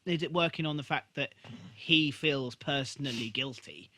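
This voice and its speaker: A man's voice: mid-range voice, normal speed, bright, forceful tone. A man co-hosting a podcast in casual, slangy conversation, swearing freely as he recaps. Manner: informal, slangy, echoes co-host, swears freely. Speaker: podcast co-host. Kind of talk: casual chat recapping a TV episode.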